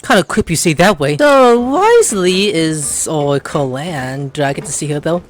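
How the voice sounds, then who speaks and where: A woman's voice: sits low, animated, moderate pace. A woman hosting a gameplay video, rambling playfully and casually as she talks back to the characters. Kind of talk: casual reactive game commentary.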